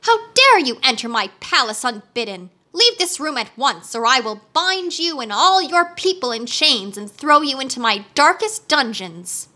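A vain, self-absorbed storybook princess , acted out by a woman. She is imperious and dramatic, with a haughty temper and a high, sharp voice. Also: big pitch swings, normal speed, few pauses, heavily acted. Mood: furious.

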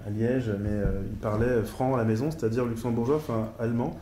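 A middle-aged man speaks from a panel desk in a large lecture hall, pausing often and filling gaps as he explains. His voice is mellow and dark. The table mic picks up a roomy echo. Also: mid-range voice, steady tone. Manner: hesitant, many fillers, careful pronunciation of German names. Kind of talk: academic conference talk and discussion. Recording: echoey hall with faint noise.

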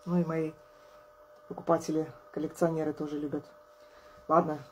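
A woman in her thirties, an artist vlogger, chatting casually to camera at a table indoors, tired and low on energy but friendly, laughing often. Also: little pitch movement, normal speed, a little hiss or hum. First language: Russian. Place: indoors at a table, small room.

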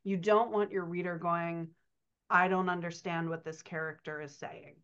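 A woman in her thirties talks shop as a writing coach on a video call, chatty and quick to laugh. Her voice sits fairly low. The call audio is dull and lo-fi. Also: smooth. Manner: chatty, laughs often, animated storytelling. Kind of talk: casual podcast chat over video call. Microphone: computer mic on video call.

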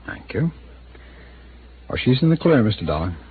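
A man's voice: mid-range voice, big pitch swings, normal speed, breathy edge. An actor playing a guarded, evasive male city attorney who hesitates and hints, in a 1950s radio drama. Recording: tinny lo-fi old radio broadcast with hiss.

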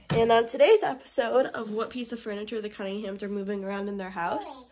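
Young mom vlogging at home w phone, raspy froggy voice from a cold, kinda high. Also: female voice, darkish tone. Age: young adult. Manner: chatty, upbeat morning updates. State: getting over a cold. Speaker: family vlogger. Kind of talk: casual vlog to camera. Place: home living room, indoors. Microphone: phone held at arm's length.

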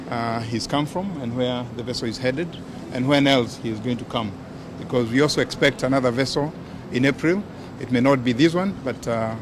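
A man with a Kenyan accent, a port official, giving a measured press statement outdoors on a pier with many fillers. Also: medium pitch, background noise. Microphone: bunch of handheld press mics.

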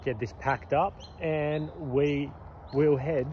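A man's voice: fairly high pitch, fairly even intonation, slow. An Australian man chatting casually through a solo camping and touring vlog, laid-back and rambling. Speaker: touring vlogger. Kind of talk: casual travel vlog narration. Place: outdoors at a campsite and in a car. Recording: dull lo-fi sound with clear outdoor and engine noise.

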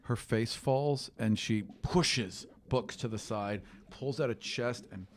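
A man's voice: medium pitch, slow pace, a little hiss or hum. A man in his thirties improvising narration as game master of a tabletop game, close on a studio microphone. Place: small studio room indoors. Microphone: microphone on stand, close.

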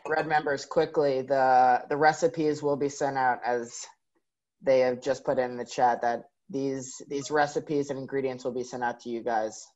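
A man hosts in a casual, chatty interview style at a medium pitch. His audio is dull and lo-fi, as over a video call. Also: little pitch movement, moderate pace, darkish tone. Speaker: host of a virtual fan event.

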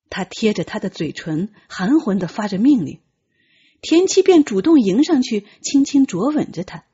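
A woman's voice: medium pitch, mellow, slightly rough, muffled recording. A woman reading a novel aloud as dramatic scripted audiobook narration.

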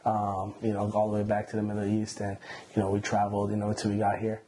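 A man in his twenties from Iran, a guest telling his story in a relaxed, slangy way with many fillers, seated indoors on a lapel mic. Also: lowish voice, fast talker, breathy edge. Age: 20s. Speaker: interview guest. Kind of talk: casual interview. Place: indoor room, seated on a couch.

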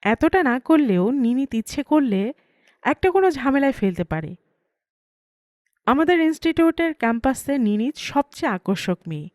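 A woman's voice: high voice, sing-song, moderate pace, darkish tone, no echo, clean studio sound. A woman reading a first-person story aloud as an audiobook narrator.

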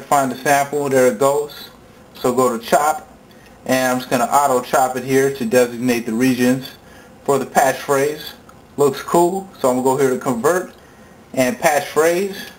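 A man's voice: mid-range voice, poor audio. A man giving an informal, slangy hands-on music gear tutorial, talking casually as he demonstrates. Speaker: tutorial presenter. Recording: music and sampler sounds behind voice. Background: sampled music loops and instrument sounds.

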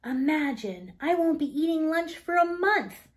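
A woman voicing an excited young schoolgirl in a children's storybook, eager and sing-song. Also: high voice, unhurried, pitched above the performer's own voice.

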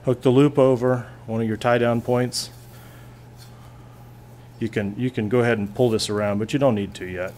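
A man's voice: medium pitch, steady tone, moderate pace, long pauses, darkish tone, a little hiss or hum. A male physics teacher at a classroom lab bench, plainly talking through a hands-on demonstration and thinking aloud as he goes.

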